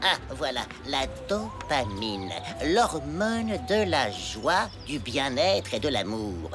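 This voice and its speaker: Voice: medium pitch, big pitch swings, takes it slowly, music in the background. An actor voicing a know-it-all young schoolboy who lectures earnestly and pedantically in a French cartoon. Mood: eager, explaining.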